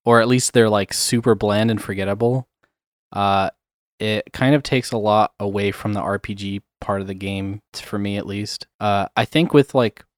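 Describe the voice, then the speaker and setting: A man's voice: lowish voice, steady tone, normal speed, dry recording, clean studio sound. A man chatting casually about games, rambling with many fillers and chuckles. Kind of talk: casual chat.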